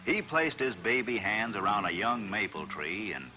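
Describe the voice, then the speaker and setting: Man telling a tall tale, animated pitch. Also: normal speed. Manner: dramatic, expressive storytelling. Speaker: storyteller, narrator. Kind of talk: scripted children's folk-tale narration. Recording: dull vintage recording, faint music behind.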